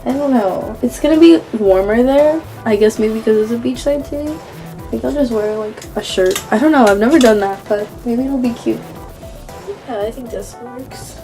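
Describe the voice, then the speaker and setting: A woman's voice: a little high, normal speed, music in the background. Giggly young woman chatting to her phone. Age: young adult. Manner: chatty and giggly, many ums and likes. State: recovering from a cold. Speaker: vlogger. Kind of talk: casual vlog chat. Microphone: phone camera.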